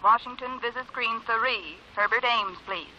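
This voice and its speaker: A woman playing a brisk, officious telephone operator in a 1950s radio drama. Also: on the high side, natural voice. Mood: formal, officious. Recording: muffled hissy radio, telephone-like sound.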